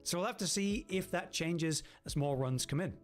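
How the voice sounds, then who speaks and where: Male gaming narrator, fairly high voice, scripted ranking commentary with faint music underneath. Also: normal speed.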